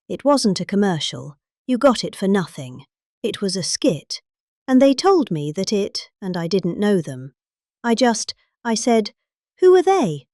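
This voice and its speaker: British female, middle-aged, comic speech reading with pomp, exaggerated stresses and lively intonation, recorded dry. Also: medium pitch, no echo. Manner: pompous, exaggerated emphasis. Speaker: performer reading out a political speech.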